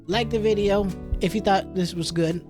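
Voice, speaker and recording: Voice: mid-range voice, moderate pace, over music. A video game streamer giving loose, informal let's-play commentary. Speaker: video game streamer reading a visual novel aloud.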